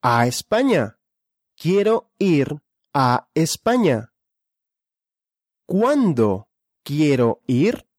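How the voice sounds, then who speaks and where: A speaker leading a question-and-answer language drill. The speaker asks each question, then answers it deliberately. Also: medium pitch, very animated, no room sound, clean studio sound.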